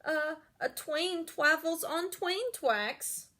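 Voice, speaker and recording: A woman's voice: very high-pitched, lively intonation, bright, forceful tone, pitched above the performer's own voice, exaggerated voice. A woman voicing a shy, miserable little boy rat from a storybook, mumbling meekly and saying W in place of R. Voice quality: speech impediment, R pronounced as W. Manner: meek, halting, mumbling. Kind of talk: children's storybook character voice.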